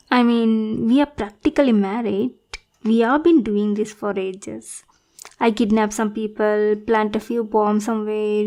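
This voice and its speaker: A woman's voice: a little high, gravelly, mellow. A woman playing a lovesick, needy supervillain in a roleplay monologue, theatrical and pleading, self-pitying and possessive, sometimes dropping into whispers. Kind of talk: scripted roleplay monologue addressed to the listener.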